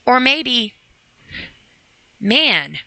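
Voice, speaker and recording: A woman's voice: on the high side, big pitch swings, drawn-out words, creaky, pushed and bright, dry recording, low-quality recording. A woman reading aloud and adding informal motivational commentary in an earnest, conversational way, often speaking straight to the listener. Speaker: podcast host reading and commenting on a sobriety e-book.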